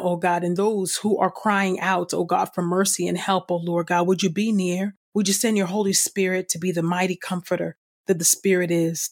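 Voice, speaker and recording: A woman hosting a devotional, speaking in a reverent, earnest manner as she reads scripture and prays. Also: medium pitch. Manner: reverent, earnest, repeatedly addressing God. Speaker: host reading scripture and leading prayer. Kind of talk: devotional scripture reading and spoken prayer.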